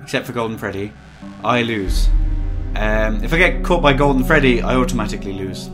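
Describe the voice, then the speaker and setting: A young man with a sore throat, slightly hoarse and nasal, chatting casually through a game, restless with sudden bursts of excitement. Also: lowish voice, fairly quick. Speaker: gaming video creator. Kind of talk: casual gameplay commentary. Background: game music and mains hum.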